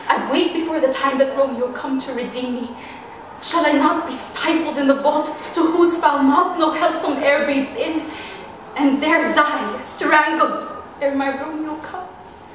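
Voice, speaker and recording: Woman doing scared young noblewoman in a stage tragedy, high voice, dramatic n desperate, super echoey room. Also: measured pace. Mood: fearful, dreading. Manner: dramatic, swinging from hushed to frantic. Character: frightened, desperate young noblewoman dreading the tomb. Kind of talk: classical stage tragedy monologue. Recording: very echoey room, distant mic, faint noise.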